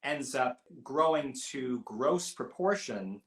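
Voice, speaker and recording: A man co-hosting a film podcast, talking things through in a thoughtful, measured way. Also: medium pitch, animated. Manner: thoughtful, measured, with frequent restarts. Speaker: podcast co-host. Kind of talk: casual film review chat. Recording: roomy echo.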